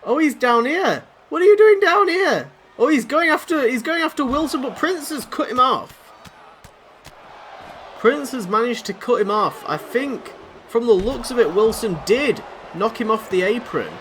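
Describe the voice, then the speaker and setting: A man doing excitable, rambling play-by-play commentary over a wrestling video game, his voice going hoarse. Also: very high voice, unhurried. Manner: excitable, rambling, self-deprecating. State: losing his voice. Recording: noisy background.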